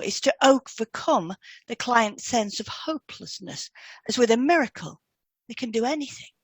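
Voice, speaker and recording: A woman's voice: medium pitch, no echo. A woman co-hosting an educational podcast, reading scripted explanations in an instructive manner. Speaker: podcast co-host and hypnotherapy trainer. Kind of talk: scripted educational podcast dialogue, read aloud.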